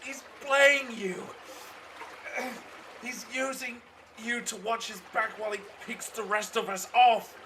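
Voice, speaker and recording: A man acting as a bitter male soldier in an audio drama. He is contemptuous and menacing, dragging his words out very slowly in a sharp voice, heard from a distance. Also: very high voice, steady tone, heavily acted. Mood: furious, scornful. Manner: menacing, contemptuous, drawn-out delivery. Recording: distant, faintly noisy ambience.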